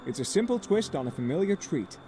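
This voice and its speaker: A man's voice: on the high side, sing-song, fairly quick, dark tone, faint music behind. A man voicing an easygoing, earnest, food-loving uncle in a video game. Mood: impressed. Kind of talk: scripted game dialogue.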